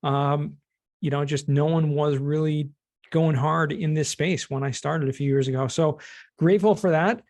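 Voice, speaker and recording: A man's voice: on the high side, steady tone, normal speed, a little mellow. A middle-aged man with an American accent, explaining things in plain words as a guest in a conversational interview. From California, United States. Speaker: podcast guest, cost segregation expert and former teacher.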